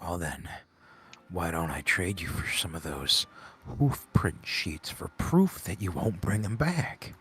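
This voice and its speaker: A man's voice: sits low, big pitch swings, slow pace, sharp tone, slightly rough, heavily acted. A man voicing a shifty, evasive, teasing goat owner in an improvised comedic fan dub of a fantasy game, with stammering, repetitive delivery. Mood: dismissive, teasing. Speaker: amateur live fan-dub voice actor. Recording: background music under the voice.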